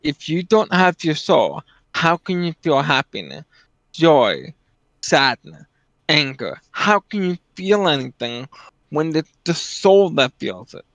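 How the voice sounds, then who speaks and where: Casting: casual male commentator, somewhat high-pitched. Insistent, stumbles into points, dry close sound. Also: sing-song, slow, fairly bright tone, no room sound. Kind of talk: casual discussion. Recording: dull, dry low-fidelity mic.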